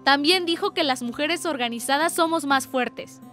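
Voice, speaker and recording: An actress playing an enthusiastic young activist friend in a radio drama, eager in manner. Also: female voice, sits high, normal speed, sharp tone, faint music behind.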